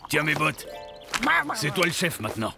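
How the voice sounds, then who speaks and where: Casting: tough, sentimental older biker leader, animated series, gravelly and expressive. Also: male voice, a little high, moderate pace, over loud music. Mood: solemn. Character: tough aging biker gang leader, sentimental mama's boy. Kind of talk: French cartoon acting.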